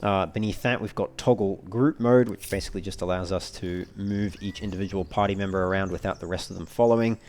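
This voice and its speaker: A man giving casual let's play commentary as a walkthrough host, explaining things in a measured, wordy, slightly formal way. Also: low-pitched, fairly even intonation, darkish tone, a little hiss or hum.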